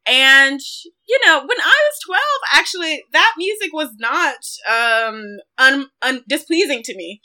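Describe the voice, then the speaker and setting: A woman in her thirties co-hosting a podcast, casually discussing films in an expressive, effusive way. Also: high voice, a little slow, few pauses. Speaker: podcast co-host.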